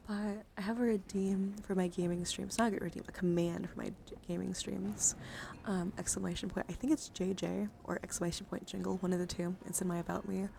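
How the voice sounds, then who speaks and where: Casting: young female ASMR streamer, soft and whispery with vocal fry, rambling and excitable, close mic over some noise. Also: mid-range voice, fairly quick. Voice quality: soft, often breaking into whispers. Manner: rambling, giggly, easily excited. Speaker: live streamer. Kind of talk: casual ASMR chat to viewers.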